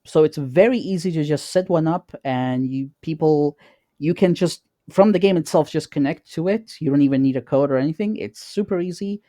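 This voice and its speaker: Mellow man chatting casually, dry close sound. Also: fairly high pitch, dry recording. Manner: halting, repeating words, informal. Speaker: podcast co-host. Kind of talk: casual chat.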